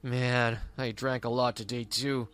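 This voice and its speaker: A man's voice: mid-range voice, little pitch movement, a little slow, fairly bright tone, smooth, natural voice. A man voicing a careless, cocky and lazy young husband in a manga dub. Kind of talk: scripted manga dub dialogue.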